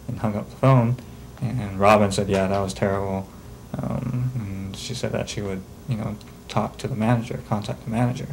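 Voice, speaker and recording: Low, flat-voiced man recounting casually. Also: rough recording. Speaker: documentary interviewee. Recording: faint noise, amateur video interview.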